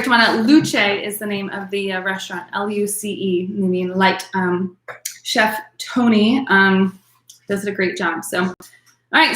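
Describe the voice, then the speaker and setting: A woman's voice: medium pitch, a bit bright, echoey room. A woman teaching an online wine class in a chatty way, full of ums, calling on attendees by name. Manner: chatty, filler-heavy, addresses attendees by name.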